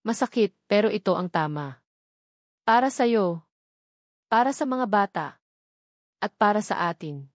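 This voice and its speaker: A woman's voice: mid-range voice, expressive, measured pace, pauses often, dry recording, clean studio sound, natural voice. A woman voicing a possessive, scheming female rival in an audio story, self-righteous under sugary sympathy. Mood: falsely sweet.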